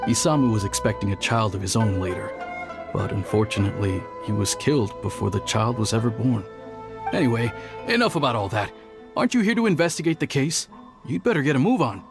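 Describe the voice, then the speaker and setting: Casting: actor as dry, no-nonsense male officer, medium pitch, measured game dialogue with clear music behind. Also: lively intonation, lightly acted. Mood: brusque. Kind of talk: video game character acting.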